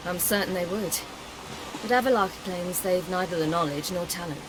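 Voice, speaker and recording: An actress playing a calm, free-spirited young woman in a video game, composed and measured. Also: lowish voice, lightly acted. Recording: game audio with clear ambient noise.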